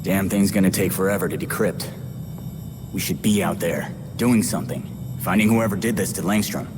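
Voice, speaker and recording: A man playing a blunt, brooding young American vigilante with a gruff voice in a video game cutscene. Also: deep voice, normal speed, noisy background, lightly acted. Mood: impatient, frustrated. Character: brooding, hot-headed young male masked vigilante.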